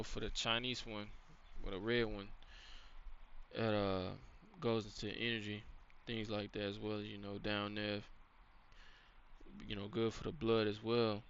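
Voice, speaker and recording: A man's voice: fairly low pitch, a bit bright, a little hiss or hum. Rambling man on a dull lo-fi recording. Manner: rambling, full of "you know" and "man", with some swearing. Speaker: channel host giving a faith-based teaching. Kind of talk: casual spoken lesson on herbs and faith.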